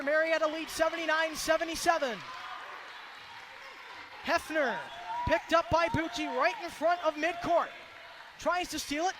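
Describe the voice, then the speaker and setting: A very high-voiced man calls a basketball game live on radio. He projects excitedly in clipped, rapid phrases, set in loud arena noise with a crowd behind him. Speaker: play-by-play commentator. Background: crowd noise and bouncing basketball.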